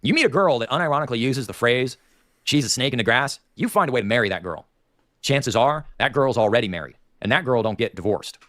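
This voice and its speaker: A man's voice: medium pitch, expressive, fast talker, a bit bright, no echo, clean studio sound. A man with a nasal voice and a Dayton, Ohio Appalachian accent, hosting a livestream and ranting in opinionated, digressive commentary. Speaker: livestream host.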